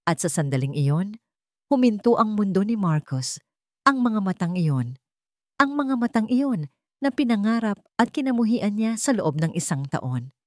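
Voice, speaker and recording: A woman's voice: medium pitch, sing-song, measured pace, no echo, clean studio sound. A woman with a Filipino accent reading a scripted dramatic story as narrator.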